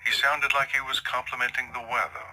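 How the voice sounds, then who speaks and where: A man's voice: mid-range voice, big pitch swings, a little fast, pushed and bright, poor audio. A man narrating a scripted horror story in a dramatic storytelling style.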